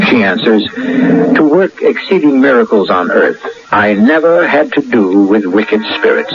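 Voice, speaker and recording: A man narrating as host of a radio drama, in a dramatic scripted style. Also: medium pitch. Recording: dull old radio broadcast. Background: music.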